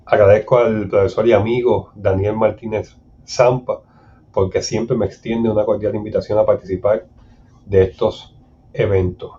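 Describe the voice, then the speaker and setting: A man with a Puerto Rican accent gives a formal, measured lecture as a mediator speaking at a seminar. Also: mid-range voice, mellow. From Puerto Rico. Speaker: conflict mediator giving a seminar talk. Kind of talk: prepared lecture for a recording. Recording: dull, muffled lo-fi recording.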